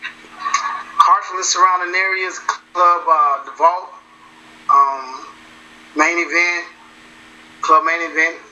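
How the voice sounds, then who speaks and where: A man, a musician talking in a casual interview, relaxed and repeating himself as he goes. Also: fairly high pitch, slow pace, pauses often, lo-fi sound. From South Carolina. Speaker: musician guest.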